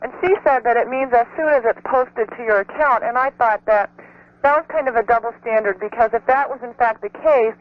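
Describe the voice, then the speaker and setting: A woman's voice: a little high, a little fast. A woman calling a radio show by telephone, methodically explaining her question. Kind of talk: explaining a personal question on air. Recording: dull telephone line on radio.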